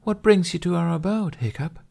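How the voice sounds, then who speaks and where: Man putting on a high, sly mermaid woman. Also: fairly quick, gravelly, a little mellow, breathy edge, full character voice. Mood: sly, teasing. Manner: purring, teasing, coy.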